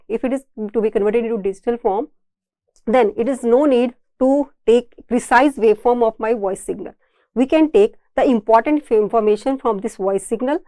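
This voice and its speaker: A woman's voice: on the high side, warm tone, dry recording. A woman with an Indian accent giving an explanatory technical lecture, repeating and rephrasing her points in a didactic way. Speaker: engineering lecturer.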